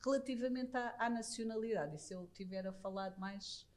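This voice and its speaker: A woman's voice: on the high side, normal speed, a little mellow. A Portuguese woman with a European Portuguese accent, an immigration lawyer speaking on a conference panel. She talks earnestly and critically, often restarting her phrases. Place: embassy conference room.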